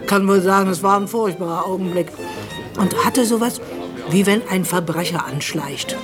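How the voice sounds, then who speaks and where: An actress playing a stingy, self-satisfied older widow in a radio play, rambling on confidingly. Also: female voice, mid-range voice, normal speed, over music, lightly acted. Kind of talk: literary radio play acting.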